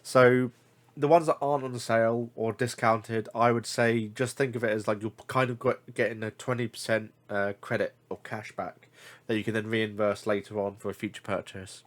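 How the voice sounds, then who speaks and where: A man's voice: fairly low pitch, flat delivery, normal speed. Congested casual male vlogger. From United Kingdom. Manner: rambling, many fillers and self-corrections. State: congested, blocked nose. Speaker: figure collector reviewing deals. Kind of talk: casual screen-share commentary.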